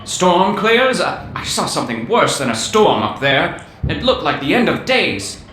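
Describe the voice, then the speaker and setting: An actor playing an anxious, poetic professor in a 1930s radio adventure, with a fretful, literary and theatrical manner. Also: male voice, fairly high pitch, sing-song, unhurried, fairly bright tone. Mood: anxious, rattled. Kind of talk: 1930s radio drama acting. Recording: echoey, slight background noise.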